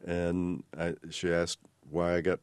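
A man with a low voice and an American accent hosts an interview. He speaks in measured, formal phrases, like someone introducing a book to listeners. The sound is dry, with little room to it. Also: completely flat delivery, clear, resonant tone, no room sound. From Texas, United States. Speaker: interviewer and host. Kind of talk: unhurried radio-style interview.

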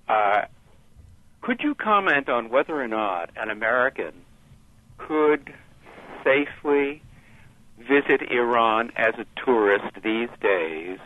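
A mature man with a lowish voice speaks over a narrow, tinny telephone line to a radio show. He chooses his words formally and carefully, pausing with some hesitation. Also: no room sound. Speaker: phone-in caller.

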